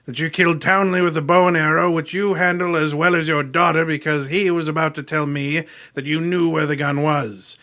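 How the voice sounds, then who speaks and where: A grandiloquent male detective of old-time radio, acted out by a man, with a forceful, highish voice. He is erudite and self-satisfied, fond of his dinner, and lays out each phrase with theatrical deliberation. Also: fairly even intonation, a little fast, hardly any pauses. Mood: accusing, triumphant. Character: pompous, erudite, gluttonous male genius detective.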